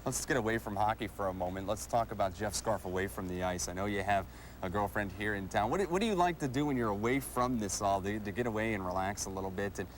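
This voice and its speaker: A man's voice: fairly low pitch, fast, slight background noise. A man hosting a sports show, interviewing a player in a broadcast style.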